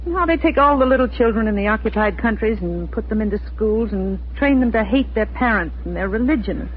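A woman's voice: a little high, expressive, a little fast, lightly acted. An actress playing an anxious, devoted middle-aged mother, fretful and earnest in a 1940s radio drama style. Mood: troubled. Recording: tinny, noisy 1940s radio recording.

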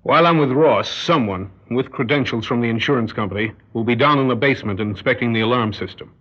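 A husky male criminal mastermind, played by a radio actor, shrewd and controlling. He speaks in measured, quietly menacing tones, on a dull, lo-fi old radio drama recording. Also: medium pitch, close to an everyday voice. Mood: businesslike, instructing. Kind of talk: classic radio drama acting.

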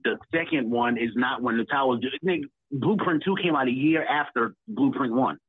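A male caller argues bluntly, dropping curses as he makes his case. His voice is husky, with a dry sound. It comes through a tinny phone line. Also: medium pitch, moderate pace, no echo. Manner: blunt, argumentative, profane. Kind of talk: casual debate chat.

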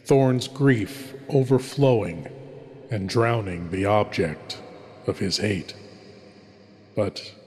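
An actor playing a ghoulish, courtly horror host, delivering theatrical scripted narration. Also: male voice, lowish voice, takes it slowly, pauses often, darkish tone. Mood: mournful, ominous. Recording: long cavernous reverb, music underneath.

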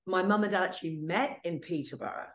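Chatty middle-aged British woman, lowish voice. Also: fast talker. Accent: British English. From England. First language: Italian. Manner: chatty, storytelling, light joking asides. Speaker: podcast guest, hairdresser. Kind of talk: casual interview chat.

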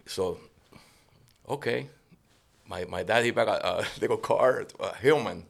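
A man, a guest telling fishing stories in a relaxed way with frequent restarts. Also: on the low side, unhurried, gravelly. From Cuba. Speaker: guest angler. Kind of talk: casual podcast interview.

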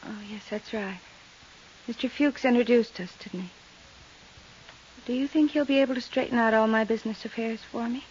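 An actress playing a distraught, fragile widow in a 1950s radio drama, hesitant and drifting. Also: female voice, a little high, normal speed, pauses often, darkish tone, lightly acted. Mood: distracted, polite. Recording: dull, hissy 1950s radio recording.

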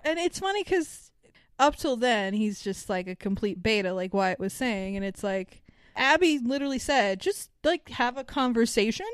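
A woman's voice: fairly high pitch, smooth, no room sound, clean studio sound. A woman co-hosting a podcast, casually recapping a film in a sweary, sarcastic, irreverent way. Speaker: podcast co-host.

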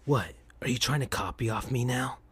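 A reader voicing a smug male teen rival hedgehog, speaking low, quiet and mocking. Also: moderate pace, slightly rough, no echo.

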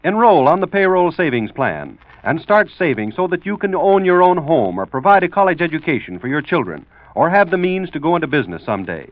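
Animated 1940s male radio announcer. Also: on the high side, brisk, hardly any pauses, dry recording. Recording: muffled old broadcast with music.

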